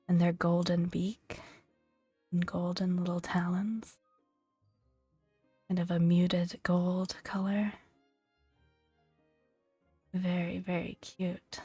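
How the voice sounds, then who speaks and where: A woman narrates in a hushed, breathy near-whisper, in the manner of soft-spoken ASMR. Her pace is very slow and her delivery gentle and soothing. The sound is close and dry, with faint music underneath. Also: on the low side, level tone, no echo. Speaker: gaming ASMR video creator.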